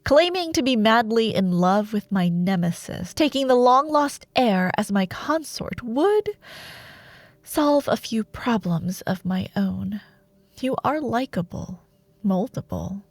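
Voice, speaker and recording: A woman playing a seductive, ruthless female dark warlord in a fantasy audio roleplay, speaking deliberately with silky menace and breathy murmurs. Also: medium pitch, dry recording. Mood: seductive, coaxing. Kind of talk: dramatic fantasy audio roleplay monologue, ASMR.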